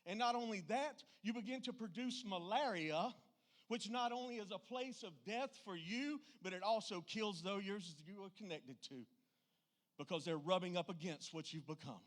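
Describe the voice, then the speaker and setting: High-pitched Southern US preacher man. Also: normal speed. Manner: folksy, plainspoken, emphatic. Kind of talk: impassioned church sermon.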